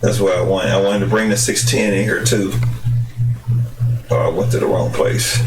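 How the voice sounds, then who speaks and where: Hoarse, rambling man, low voice. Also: fairly even intonation, moderate pace, recorded from a distance, background noise. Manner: rambling, many fillers and restarts. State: hoarse, tired. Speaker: gaming channel host. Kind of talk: casual game commentary. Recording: roomy, off-mic with music behind.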